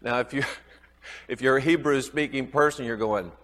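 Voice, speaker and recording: A man's voice: medium pitch, moderate pace, fairly bright tone, big-room sound. A man with a Southern US accent teaching a Bible class, chuckling often and quizzing his students.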